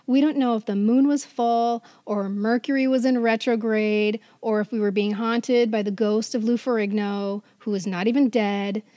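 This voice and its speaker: High-voiced American woman podcast host. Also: fairly even intonation, moderate pace, hardly any pauses, warm tone, no room sound, studio quality. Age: 50s. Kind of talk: casual podcast chat and storytelling.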